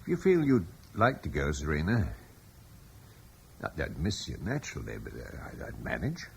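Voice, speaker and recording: A calm, reflective old lord. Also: male voice, a bass voice, normal speed, gravelly, a little hiss or hum, full character voice. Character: steady older British lord, calm and reflective. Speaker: Julian, lord of a fortified estate. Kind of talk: radio drama acting.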